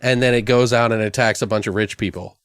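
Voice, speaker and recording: A man's voice: lowish voice, fairly even intonation, fast, clear, resonant tone, dry recording. Casual, foul-mouthed male podcast host, dry sound. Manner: profane, slangy, rambling. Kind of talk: casual comedic banter, improvising a movie pitch.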